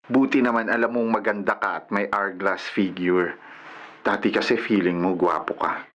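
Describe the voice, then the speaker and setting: A man's voice: on the low side, steady tone, normal speed, fairly bright tone, highs cut off. An actor with a Filipino accent playing a nosy young male friend who teases and mocks playfully in a radio drama. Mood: teasing. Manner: mocking, playful, exclaiming.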